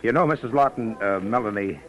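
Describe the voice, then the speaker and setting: An actor playing a smooth, pushy small-town miner in an old-time radio drama, folksy and insistent. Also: male voice, fairly low pitch, brisk, close to an everyday voice. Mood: flirtatious, cocky. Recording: noisy vintage radio recording.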